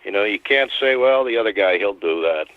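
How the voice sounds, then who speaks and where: Low-voiced man on a tinny phone line. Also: animated, fairly quick, no room sound. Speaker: guest, advocacy group founder. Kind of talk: casual radio talk.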